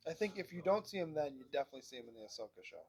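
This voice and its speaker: A man in a casual review chat, making quick, offhand remarks. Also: on the high side, sing-song, a little mellow, husky. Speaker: podcast co-host.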